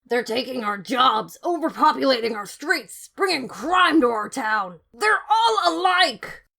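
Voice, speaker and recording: A woman voicing a brash, hot-tempered female monster cop with a gravelly, forceful voice in a story. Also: sits high, lively intonation, a little slow, voice pushed up, heavily acted. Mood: furious. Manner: forceful, shouting.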